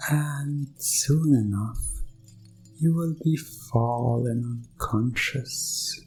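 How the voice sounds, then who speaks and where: Very slow, creaky sinister doctor, acted by a man. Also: mid-range voice, darkish tone, faint music behind. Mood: soothing, hypnotic. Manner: slow, soothing, hypnotic, repetitive. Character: sinister male doctor, soothing, calmly menacing. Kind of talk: hypnosis ASMR roleplay.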